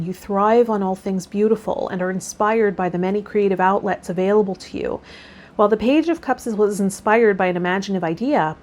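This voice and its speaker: A woman hosting a tarot vlog, reading card meanings and then talking loosely and gently about the week ahead. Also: medium pitch. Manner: loose and rambling with fillers when unscripted. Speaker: tarot vlogger.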